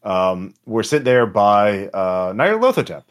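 Male game master, low voice, casual and sweary, explains scenarios with halting delivery. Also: sing-song. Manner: halting, trailing into pauses, sweary. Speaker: game master of a tabletop role-playing podcast.

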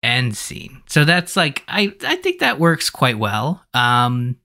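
A man hosting a podcast in casual conversation, talking in an animated, rambling way as he thinks aloud. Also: medium pitch, slow pace. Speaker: podcast host.

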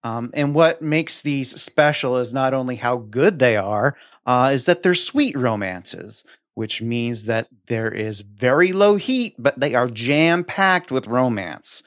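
A man's voice: mid-range voice, expressive, takes it slowly, fairly bright tone, dry recording. Guy podcast cohost, mid voice, super dry sound, casual but gushy and emphatic, bit wry. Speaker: podcast co-host. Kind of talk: casual book recommendation chat.

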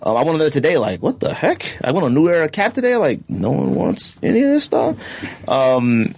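A man in his thirties co-hosting a casual podcast. He argues his point in an animated way, full of slang and quick asides. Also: on the high side, creaky, husky. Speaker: podcast co-host. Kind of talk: casual chat.

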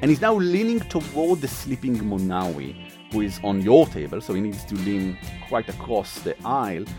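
A man with an Israeli accent explaining and narrating as game master in a halting, casual, enthusiastic way. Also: lowish voice, big pitch swings, darkish tone, faint music behind. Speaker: game master, game designer and writer.

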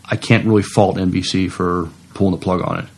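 A man's voice: low voice, steady tone, brisk, gravelly, slightly rough. A man co-hosting a podcast, giving casual news commentary. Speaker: podcast co-host. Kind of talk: casual news commentary, some reading aloud.

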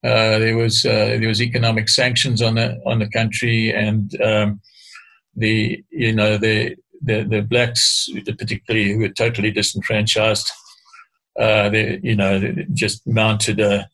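An older man from Africa, explaining history at a measured pace with frequent hesitations and restarts as an interview guest. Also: on the low side, monotone, a bit bright, rough recording.

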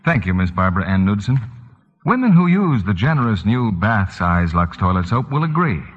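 A man announcing a 1940s radio sales pitch, with a husky voice and scripted polish. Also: sing-song, normal speed. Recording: muffled, reverberant vintage radio broadcast.

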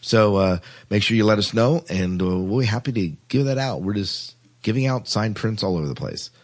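A man's voice: lowish voice, animated, normal speed. A man hosting a podcast in a casual, informal, rambling way. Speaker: podcast host.

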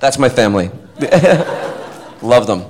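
A man's voice: mid-range voice, slow pace, a little hiss or hum. A man, an actor, telling rambling stories to a live audience at a fan panel. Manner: animated, rambling, self-interrupting. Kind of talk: casual storytelling to an audience.

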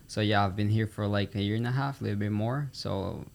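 Young Brazilian man, low creaky voice. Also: fairly quick, slight background noise. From São Paulo, Brazil. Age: teens or young adult. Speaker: student podcast guest. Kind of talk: casual interview chat.

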